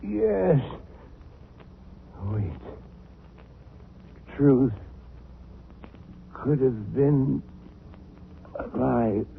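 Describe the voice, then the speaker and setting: An actor playing a weak, dying male doctor in 1940s radio style, halting and gasping out faint words. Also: medium pitch, sing-song, creaky, heavily acted. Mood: weak, fading. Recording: noisy, phone-like 1940s radio recording.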